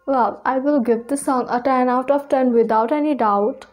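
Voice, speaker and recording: High-pitched young Indian-accented woman. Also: normal speed, a little mellow, soft background music. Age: 20s. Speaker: reaction video host. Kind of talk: casual reaction commentary.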